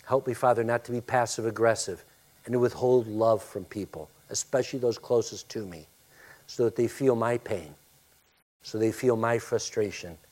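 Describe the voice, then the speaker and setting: Pastor guy preaching then praying low and soft, big loud voice, ordinary mid pitch. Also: normal speed. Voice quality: loud, forceful voice. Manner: emphatic preaching that drops into a soft, hushed prayer. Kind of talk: sermon and closing prayer.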